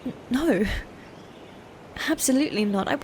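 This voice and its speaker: A woman acts out a sadistic, ambitious knight sister in a medieval fantasy roleplay. Her highish voice is soft-edged and mellow, delivered slowly in formal, theatrical phrases, with noticeable ambient noise behind it. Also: expressive. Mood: indignant. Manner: theatrical, archaic formal phrasing, slow and deliberate. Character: proud, sadistic female knight, twin sister, playfully cruel and ambitious. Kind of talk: scripted medieval fantasy audio roleplay, ASMR.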